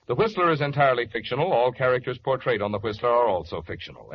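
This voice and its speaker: A man's voice: medium pitch, a little hiss or hum. An American man announcing in a polished, emphatic 1940s radio style. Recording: dull vintage radio recording, faint music.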